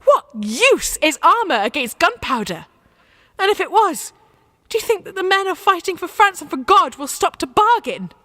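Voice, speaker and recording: A headstrong young peasant woman warrior, acted by a woman for a radio play. The voice is high and very animated, rising and dropping freely. The manner is devout, insistent and plain-spoken. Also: moderate pace, full character voice. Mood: defiant, fervent.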